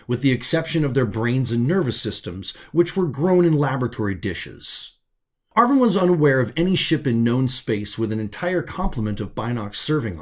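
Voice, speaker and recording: A man with a medium-pitched voice narrates a science fiction audiobook. His delivery is scripted and measured, using dramatic pauses for weight. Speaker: author narrating own story.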